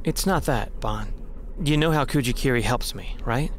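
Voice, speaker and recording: A man's voice: mid-range voice, a little hiss or hum, close to an everyday voice. An actor playing Marco, an earnest young man who stammers as he voices a sense of dread. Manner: halting, stammers when worried.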